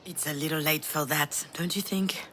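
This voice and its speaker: An actress playing a weary, grieving mother in a video game, soft and wry, with a self-deprecating manner. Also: low-pitched, fairly even intonation, moderate pace, slight background noise. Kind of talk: naturalistic video game drama acting.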